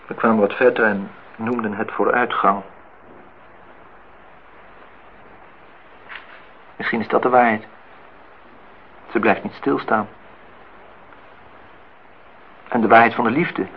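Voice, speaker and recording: An actor playing a disillusioned, reflective man in his thirties in a Dutch radio play, speaking in measured, philosophical phrases. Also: fairly low pitch, fairly even intonation, lightly acted. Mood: somber, musing. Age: 30s. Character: disillusioned, reflective man musing on truth and love. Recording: dull, noisy old radio play recording.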